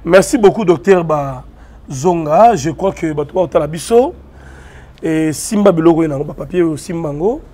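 A male Congolese TV journalist hosting an interview, putting quick questions to his guest and greeting viewers. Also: on the high side, warm tone.

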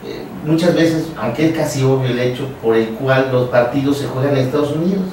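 A man with a Mexican accent hosting a casual radio conversation, explaining things in a halting way and repeating his phrases. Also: mid-range voice, darkish tone. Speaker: radio show host. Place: small radio studio, indoors. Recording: echoey room, distant, background noise.

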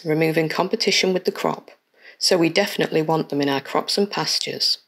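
A woman reading a scripted educational voice-over in a clear, measured, explanatory way. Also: on the low side. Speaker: science explainer narrator.

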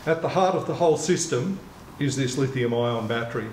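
A man in his sixties giving a measured, methodical product demonstration as a kayak retailer, speaking in an indoor shop. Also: medium pitch, darkish tone. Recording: echoey room with clear background noise.

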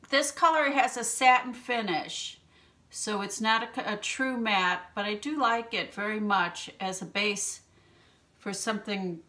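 A woman in her fifties hosting a makeup tutorial from a small room at home, explaining each step in a gentle, chatty way and clearing her throat now and then from allergies. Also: medium pitch. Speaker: beauty channel host.

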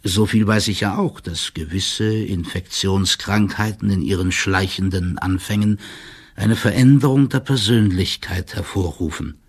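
Creaky, deferential male court clerk. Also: deep voice, a little slow, heavily acted. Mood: formal, concerned. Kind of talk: audio drama, letter read as monologue.

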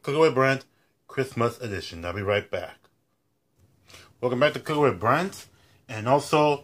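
A man's voice: mid-range voice, a bit bright. A young man hosting his own cooking show, talking straight to the camera from his kitchen at home. He speaks emphatically, in a mid-range voice, and keeps repeating his catchphrases. The sound comes from a camera mic at close range. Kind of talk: casual announcement to camera. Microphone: built-in camera mic at close range.

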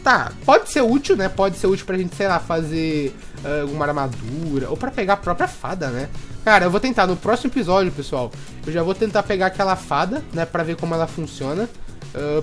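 A young Brazilian man chatting excitably through his gameplay commentary and tossing in tag questions constantly. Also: high voice, quick pace, soft background music. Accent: Brazilian Portuguese. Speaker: gaming video host.

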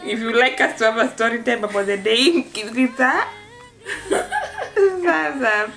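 A woman's voice: a little high, sing-song, unhurried, a bit bright. A young woman hosting a casual vlog from her bedroom, playful and laughing often as she teaches phrases. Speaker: vlogger host. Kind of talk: casual chat. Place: bedroom, indoors.

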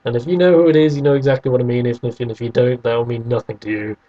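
A man's voice: medium pitch, steady tone, very fast, muffled recording. A man chatting casually as a podcast co-host, bantering and arguing his point. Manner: bantering, argumentative. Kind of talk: casual debating chat.

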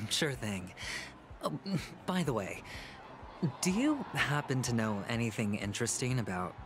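An actor playing a sly, suave young man in a video game, measured and theatrical in manner. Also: medium pitch, big pitch swings, noisy background. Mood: smooth, ingratiating. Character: sly, suave young gentleman gambler, smoothly calculating. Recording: music behind the voice.

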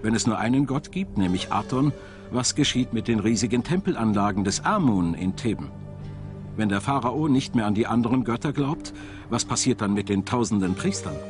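A man with a fairly low voice narrates a documentary from a script. His delivery is measured and tells the material as a story. Music is clearly audible behind him. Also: animated.

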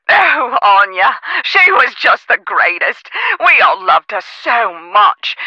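A woman playing a chatty phone operator in a video game, with expressive customer-service delivery over a muffled line. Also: a little high, no room sound. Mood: gushing. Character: perky, chatty castle staffing phone operator. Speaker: call centre operator.

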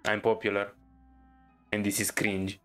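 Very low-voiced woman commenting on a game. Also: little pitch movement, measured pace, soft background music. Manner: dry, wry asides. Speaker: streamer playing and reacting to a game.

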